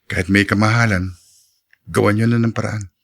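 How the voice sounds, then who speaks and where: A fairly low-voiced Filipino village doctor, earnest and firm. He speaks in measured radio drama style with a strong sense of duty. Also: steady tone, vocal fry, fairly bright tone. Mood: firm, composed. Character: dutiful, firm, composed male village doctor.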